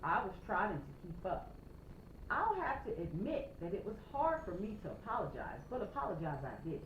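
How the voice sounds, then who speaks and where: A woman reads a children's story aloud with an animated, expressive delivery. Her pitch moves widely and she pauses for emphasis. The sound is roomy, with faint background noise. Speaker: storytime reader. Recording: roomy, slightly noisy, dull home recording.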